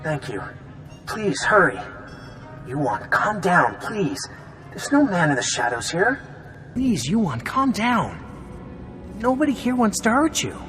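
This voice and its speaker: A man's voice: high voice, sing-song, slow, pauses often, sharp tone, soft background music, full character voice. An actor playing an anxious, courteous male embassy official in a video game, earnest and pleading in manner. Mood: worried.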